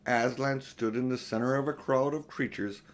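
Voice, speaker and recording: A man's voice: medium pitch, fairly even intonation, normal speed. An older man reading a storybook aloud to children as an audiobook narrator, now and then stumbling over a word.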